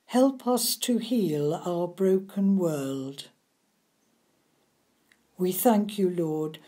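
A woman reading intercessory prayers for a church service in a measured, reverent, deliberate manner. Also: mid-range voice, mellow. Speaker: lay prayer leader.

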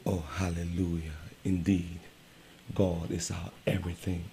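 A middle-aged male host from Liberia, preaching to viewers from a small radio studio into a boom mic, building emphasis as he goes. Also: level tone, measured pace, creaky, warm tone, faint music behind. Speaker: radio show host, pastor. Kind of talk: exhortation to viewers.

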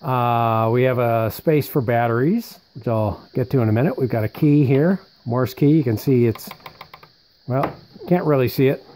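A man with a soft-edged, mellow voice presents in an easy show-and-tell style. He talks conversationally and thinks aloud as he goes, at a medium pitch. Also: normal speed, slight background noise. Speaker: presenter showing vintage military radio equipment. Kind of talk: casual unscripted show-and-tell narration.

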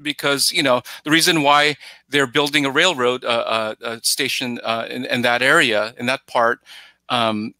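A middle-aged man giving casual film analysis as a podcast guest, halting and often starting phrases over. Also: medium pitch, steady tone, sharp tone, dry recording. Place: plain room at home, on a video call. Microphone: headset.

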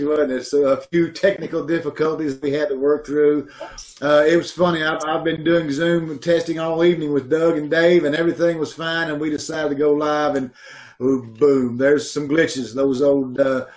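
Middle-aged man, slightly high voice, jovial host, casual delivery, video call from home. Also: flat delivery, normal speed. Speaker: host introducing the cast of an amateur troupe. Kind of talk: casual live sign-off. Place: room at home, indoors.

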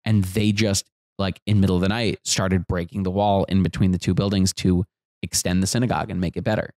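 A man in his thirties, a comedian guest, telling animated jokey stories on a podcast couch into a close boom mic. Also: a little fast, dry recording. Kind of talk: casual storytelling chat. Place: living room studio with couch.

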